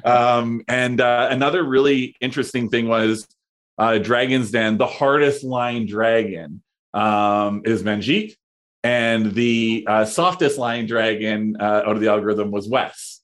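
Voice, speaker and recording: A man in his thirties talks through ideas in casual conversation. His voice sits at an ordinary pitch. He hesitates often, restarting phrases and dropping in fillers as he thinks aloud. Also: steady tone. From Canada. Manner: halting, many fillers and restarts. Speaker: podcast guest, entrepreneur.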